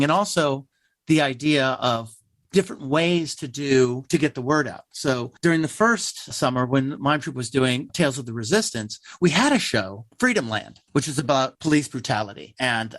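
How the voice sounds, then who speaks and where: A man's voice: mid-range voice, a bit bright, dry recording. A man speaking conversationally as a theater guest in an interview, telling things like a storyteller.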